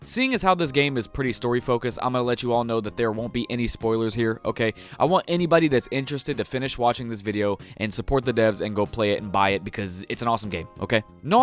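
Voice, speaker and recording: Young american dude doing a game review, mid pitched voice, sweary and slangy, really emphatic, music playing behind him. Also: male voice. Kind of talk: casual scripted-sounding game review to viewers. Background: music under the voice.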